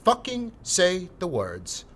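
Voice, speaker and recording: An actor playing an irritable, defensive, foul-mouthed building manager in an audio drama, blustering with heavy emphasis. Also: male voice, high-pitched, wildly swooping pitch, takes it slowly, fairly bright tone, exaggerated voice. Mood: angry, defensive. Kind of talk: scripted podcast drama acting.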